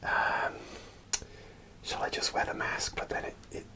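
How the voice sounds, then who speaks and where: A man whispering an ASMR show-and-tell in a soft, unvoiced whisper, hesitant and full of small fillers, partly from inside a costume helmet. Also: measured pace. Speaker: ASMR creator.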